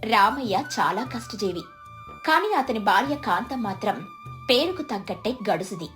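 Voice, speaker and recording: Female storyteller, scripted folk-tale narration, pitched a bit high, light music bed. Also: very animated, normal speed, a bit bright.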